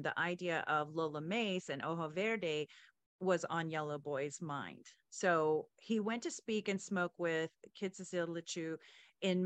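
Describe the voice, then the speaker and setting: Low-pitched woman hosting, dry close sound. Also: fairly bright tone, no room sound. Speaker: podcast host. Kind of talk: reading book excerpts and interviewing the author.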